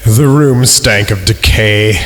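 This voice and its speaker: A man's voice: fairly low pitch, takes it slowly. A man hosting a podcast, reading a horror story aloud and breaking off to mock it with sarcastic jokes. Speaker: podcast host. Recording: music playing behind.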